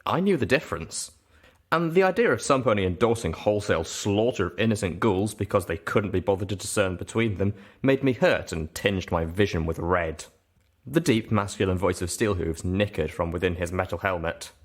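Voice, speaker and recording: Low-voiced male story narrator. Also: brisk. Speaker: audiobook narrator. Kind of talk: scripted first-person story narration.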